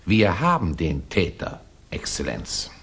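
An actor playing an excitable, deferential aristocratic prince in a German radio play, eager, pompous and effusive. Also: male voice, deep voice, slow, breathy edge, heavily acted. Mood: excited, insistent.

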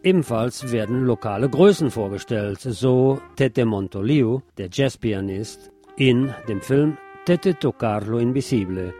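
A man's voice: mid-range voice, animated, a little slow, dark tone, soft background music. A man presenting scripted radio news, with brief asides dropped in between the items.

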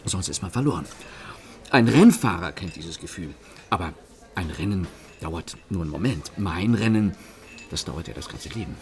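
Ein Schauspieler spielt in einem Hörspiel einen leidenschaftlichen, gefahrensüchtigen Gentleman-Dieb. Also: sits low, expressive, normal speed. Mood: passionate. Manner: passionate, savoring words. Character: thrill-seeking gentleman thief, exuberant. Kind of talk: radio play acting.

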